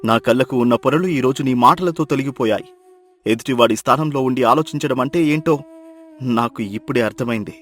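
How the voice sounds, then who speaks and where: A man's voice: mid-range voice, brisk, a bit bright, natural voice. An actor playing an educated young city man, humbled and repentant, speaking earnestly and emphatically in a dramatized moral story. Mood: remorseful.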